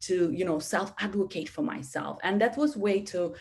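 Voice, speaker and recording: Lowish-voiced woman on a video call webinar, animated, lots of restarts and "you know". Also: moderate pace. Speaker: webinar speaker. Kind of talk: webinar talk.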